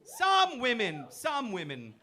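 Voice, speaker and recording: Brash, lively stand-up comedian man. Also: very high voice, takes it slowly, slight background noise. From Iran. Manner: brash, animated, teasing the crowd. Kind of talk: stand-up set with crowd work. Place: comedy club stage. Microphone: handheld stage mic.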